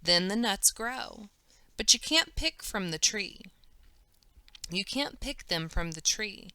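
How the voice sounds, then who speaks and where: A woman's voice: mid-range voice, animated, slow pace, sharp tone, smooth, no echo. A woman teacher reading a children's novel aloud, careful and emphatic, doing voices for the characters.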